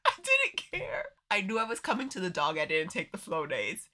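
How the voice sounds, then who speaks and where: A woman's voice: medium pitch, huge pitch swings, moderate pace, sharp tone. A woman podcast co-host chatting casually, congested and nasal from allergies, laughing often. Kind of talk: casual chat.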